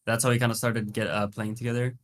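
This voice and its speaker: A man's voice: mid-range voice, little pitch movement, fast. A young man from Iceland without an accent, a college esports player, talking in an easygoing way as a guest on a video call. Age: young adult. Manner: easygoing, laid-back. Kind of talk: casual chat.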